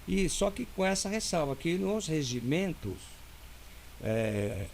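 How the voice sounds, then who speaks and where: Brazilian lawyer explaining things patiently. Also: male voice, on the high side, sing-song, slow pace, vocal fry, darkish tone, slight background noise. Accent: Brazilian Portuguese. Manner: didactic, explains step by step with many "né?". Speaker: lawyer guest. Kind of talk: casual interview talk.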